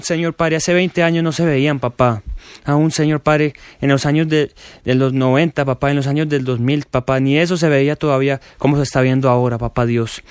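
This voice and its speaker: A young man prays aloud with fervent, pleading intensity, breaking his words into short phrases and returning again and again to the same address to God. His voice sits a little high for a man. The sound is dry and close, with no room echo. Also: smooth. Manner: repeats "papá" and "Señor Padre" after nearly every phrase; pleading, rising to impassioned calls. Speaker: prayer leader. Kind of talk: fervent spoken prayer.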